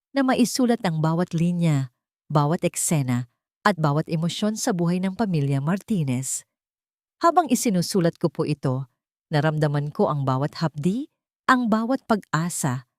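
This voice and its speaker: A woman's voice: low-pitched, darkish tone, no room sound, clean studio sound. A woman with a Filipino accent narrating a scripted, sentimental story in an earnest, dramatic way.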